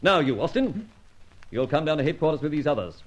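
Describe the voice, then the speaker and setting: An actor playing a brisk, commanding police inspector with mocking sarcasm, in old radio detective drama style. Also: male voice, on the high side, sing-song. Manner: barking orders, sarcastic, theatrical. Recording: dull lo-fi old radio recording.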